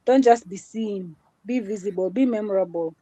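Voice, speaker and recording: A woman's voice: medium pitch, moderate pace, mellow, no room sound. A woman with a small voice, giving an earnest motivational talk as a guest speaker in a live session. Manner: earnest, repetitive, mixes Swahili into English.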